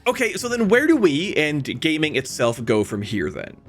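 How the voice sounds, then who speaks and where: A man's voice: on the high side, huge pitch swings, normal speed. A man narrating a scripted video essay in an emphatic, conversational way, speaking straight to the viewer. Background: background music.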